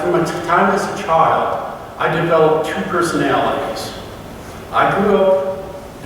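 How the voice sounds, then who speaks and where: A man with a fairly high voice gives a halting, reflective talk, often stopping to restart his sentences. He sounds distant from the mic, and his words ring out through a large hall with a long echo. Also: a bit bright, a little hiss or hum. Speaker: fellowship member giving a talk. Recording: echoey hall from far off.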